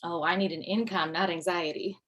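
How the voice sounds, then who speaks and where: A woman's voice: fairly low pitch, fairly even intonation, brisk, a bit bright, low-quality recording. A woman playing a dry, hesitant middle-aged widowed mother who used to do standup, in a comedy table read. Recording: video call.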